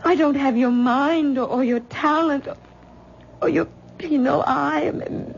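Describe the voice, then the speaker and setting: A woman's voice: high voice, a little slow, gravelly. An actress playing a troubled, anxious wife who pleads with her husband in a vintage radio drama. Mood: pleading, resolved. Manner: pleading, anxious, on the verge of tears. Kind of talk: 1970s radio drama acting. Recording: muffled old radio broadcast with faint hiss.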